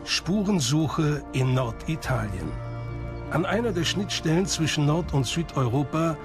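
Man, documentary narrator, scripted delivery, fairly high pitch, music behind. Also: animated, measured pace. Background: background music.

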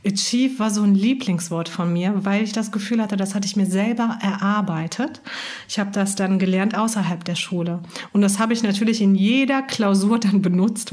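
A woman's voice: medium pitch, a little mellow. A woman from Germany, raised bilingual in German and Italian, chatting thoughtfully on a podcast and laughing easily. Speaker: podcast co-host. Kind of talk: casual conversation about language learning.

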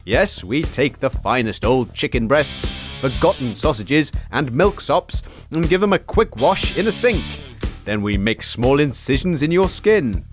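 A man's voice: mid-range voice, sing-song, measured pace, music in the background. A man playing a pushy, declamatory announcer in a parody wasteland radio commercial. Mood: hard-selling. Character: brash, hard-selling wasteland advert announcer.

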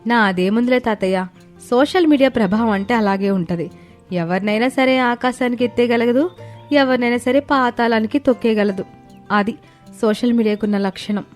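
A woman voicing a kind, outspoken young female office worker in an animated moral story, speaking earnestly. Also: fairly high pitch, moderate pace, close to an everyday voice. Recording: background music under the voice.

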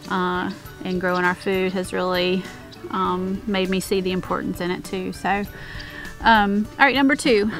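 A woman in her thirties talking casually outdoors on her homestead, with many fillers and restarts. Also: medium pitch. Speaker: homestead vlogger. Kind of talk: casual chat.

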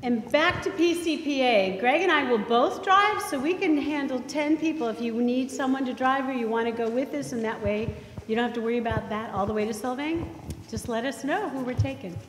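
A cheerful woman with a fairly high voice speaks up from the congregation. Her words echo around the church, with clear noise in the background. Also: brisk. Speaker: congregation member. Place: church sanctuary.

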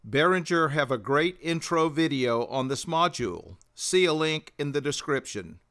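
A man's voice: mid-range voice, a bit bright, smooth. A man presenting a tutorial in methodical, scripted explanatory narration. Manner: methodical, instructional.

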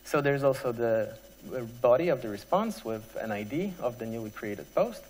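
Male conference presenter, medium pitch, halting technical delivery with frequent pauses, long hall reverb. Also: animated, a little mellow. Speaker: conference speaker.

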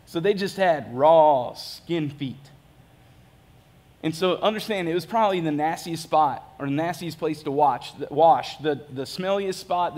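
Man, 30s, pastor on stage, casual self-mocking preaching, a touch high-pitched. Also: darkish tone. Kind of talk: sermon. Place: large church stage.

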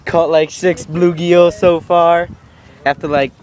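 A man's voice: a little high, flat delivery, slow, darkish tone. A young man filming a fishing trip with friends, joking crudely and laughing on a handheld camera outdoors. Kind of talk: casual joking chat. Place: lakeside park outdoors, windy. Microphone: handheld phone camera.

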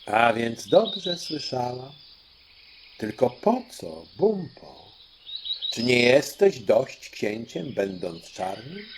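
A man voicing a composed fairy queen in an audiobook, with a measured, deliberate and theatrical delivery. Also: medium pitch, sing-song, background noise. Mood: coaxing, composed. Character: fairy queen granting royal children's dreams, female, composed. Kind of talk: audiobook storytelling.

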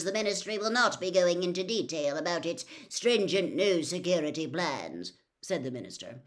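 An older woman reads a novel aloud from a small room lined with bookshelves, her voice pitched in an ordinary middle range. She reads expressively and swells into a louder, declaiming tone for quoted notices. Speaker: storyteller.

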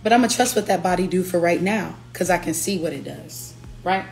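A young woman, a singer-songwriter, chatting playfully on a livestream from her living room, her voice raspy from allergies. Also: on the low side, brisk, a little mellow, faint music behind. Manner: chatty, playful, breaks into lyrics. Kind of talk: casual livestream chat. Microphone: phone camera at arm's length.

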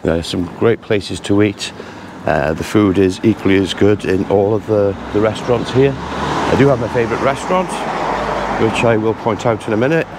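A man casually narrating a walking tour outdoors on village streets, chatty and relaxed with frequent pauses to say "uh". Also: on the low side, background noise. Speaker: travel vlogger.

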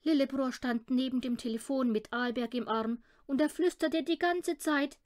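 A woman reading a children's audiobook with expressive storytelling narration. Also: high voice, normal speed. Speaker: audiobook reader.

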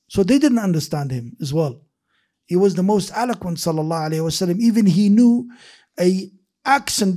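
A middle-aged man giving a seated hadith lesson in a mosque at a desk microphone, talking with his hands and often restarting words. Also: high-pitched. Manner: animated, gestures with his hands while explaining; repeats and restarts words, mixes in Arabic phrases. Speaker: hadith teacher. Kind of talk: classroom lecture. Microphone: desk microphone on a stand.

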